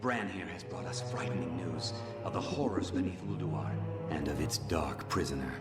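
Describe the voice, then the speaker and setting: An actor plays a grave, courteous male archmage. His voice is on the low side and his manner formal and pressing. It comes from a fantasy game cinematic with a heavy musical score behind it. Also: moderate pace, loud music behind the voice, close to an everyday voice. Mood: grave concern. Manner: formal, solemn, urgent. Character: grave, courteous male mage leader of a city.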